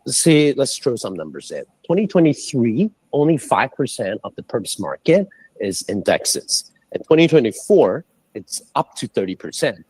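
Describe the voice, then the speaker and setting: A man from Singapore speaks as a guest over a remote call, with a somewhat low, husky voice. His pitch swings widely as he explains, lifting on the points he stresses. The sound is dry and close, with no room around it. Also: dry recording, poor audio. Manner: animated, explanatory, pitch jumping on numbers and key points. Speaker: guest, head of global strategy. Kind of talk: livestream interview talk. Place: indoors, calling in from abroad.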